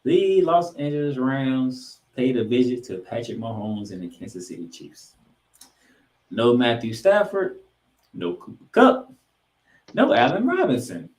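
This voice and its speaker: A man hosting a sports podcast, giving football commentary in an emphatic way, with dramatic pauses and repeated phrases. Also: medium pitch, very animated, a little mellow.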